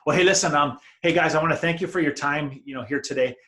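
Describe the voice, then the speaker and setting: Higher-voiced male interview host. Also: fast, bright, forceful tone. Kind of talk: conversational interview hosting.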